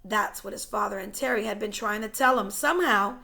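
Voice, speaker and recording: A woman reading a story aloud in an expressive, dramatic way, stressing key words and sometimes dropping to a hush. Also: medium pitch, a little fast, vocal fry. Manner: dramatic, emphatic, dropping to hushed tones. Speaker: teacher reading a book aloud.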